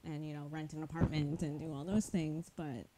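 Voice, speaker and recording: A woman's voice: low voice, level tone, brisk, background noise. A woman hosting a podcast, asking curious questions in a casual interview. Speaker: podcast host.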